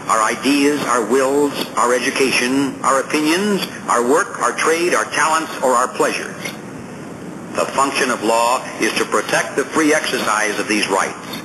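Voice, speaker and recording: Formal male audiobook narrator, noisy recording. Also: medium pitch. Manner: measured, emphatic, formal, deliberate phrasing. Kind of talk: scripted nonfiction narration, oratorical reading.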